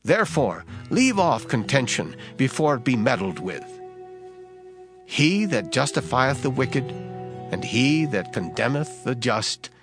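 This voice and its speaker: A man narrating scripture in a dramatized King James style, with solemn, measured, emphatic delivery. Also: medium pitch, big pitch swings. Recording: music under the voice. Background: background music.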